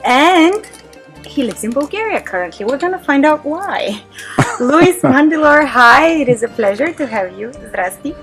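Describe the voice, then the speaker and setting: A woman's voice: high-pitched, very animated, normal speed, a bit bright, faint music behind. A woman hosting an interview, asking upbeat questions and laughing often. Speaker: interview host.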